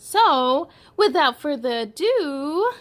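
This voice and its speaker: Female children's read-aloud host, high voice, animated and playful storytelling delivery. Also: takes it slowly, slight background noise. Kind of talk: expressive read-aloud for children with chatty asides.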